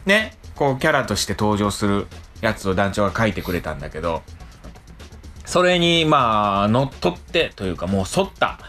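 A man hosting a casual podcast chat, explaining things in a hesitant, halting way with lots of softeners. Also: lowish voice, sing-song, soft background music. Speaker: radio show host.

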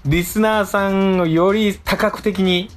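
A man hosting a radio show in casual, conversational chat, good-natured and quick to protest. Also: high-pitched, fairly even intonation.

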